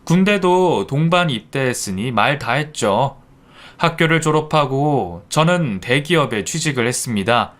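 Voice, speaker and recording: A man calmly narrating a scripted first-person life story. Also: mid-range voice, measured pace.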